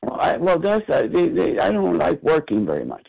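A man's voice: on the high side, creaky, husky. Man on a phone line. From Cuba. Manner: halting, animated, exclaims often. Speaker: meeting participant. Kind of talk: sharing at a phone support meeting.

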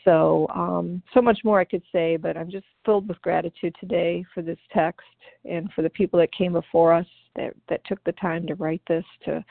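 A woman from Ohio sharing her recovery story at a meeting, earnest and grateful, pausing often with fillers. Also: low voice, gravelly, dry recording. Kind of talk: personal recovery share. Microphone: phone call. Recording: tinny phone line.

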